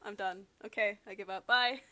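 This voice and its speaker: A woman's voice: mid-range voice, fairly even intonation, normal speed, pushed and bright. A woman in her early twenties, a university student, chatting reflectively with many fillers and frequent light laughs. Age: 20s. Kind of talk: casual chat.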